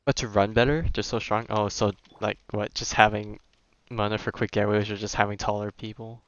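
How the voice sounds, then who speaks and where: Low, smooth-voiced male game streamer. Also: level tone, a little fast, no room sound, lo-fi sound. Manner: slangy, muttering, thinking aloud. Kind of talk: casual live gameplay chat.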